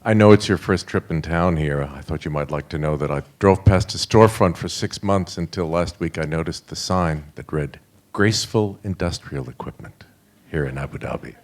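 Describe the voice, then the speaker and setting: A man with a low voice makes a conversational remark from the audience at a live event. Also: moderate pace, a little mellow. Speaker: audience member making a remark.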